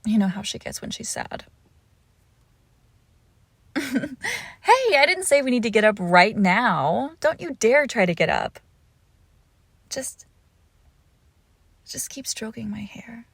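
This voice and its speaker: Woman doing a possessive lonely witch asmr thing, slow and breathy w lots of whispering, bit of creaky fry. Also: on the high side, no room sound, heavily acted. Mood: shy, playful. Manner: soft, breathy, often slipping into whispers, tender cooing. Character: lovesick possessive forest witch, doting, lonely, clingy. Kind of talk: intimate ASMR roleplay, scripted monologue.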